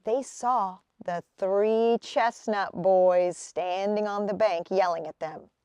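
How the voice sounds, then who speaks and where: A woman reading a children's picture book aloud as a storyteller, with expressive, deliberate phrasing. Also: medium pitch, clean tone, dry recording.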